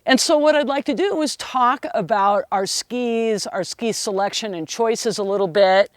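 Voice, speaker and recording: A middle-aged woman ski instructor giving an opinionated lesson on equipment in a strong, loud, emphatic voice. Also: fairly high pitch, moderate pace, dry recording, clean studio sound. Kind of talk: instructional video talk.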